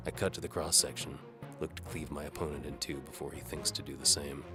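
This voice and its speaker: An actor playing a world-weary medieval sword-for-hire, narrating his own adventure in wry, deadpan hardboiled style. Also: rumbling low, a little fast, music in the background. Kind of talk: first-person hardboiled audio drama narration.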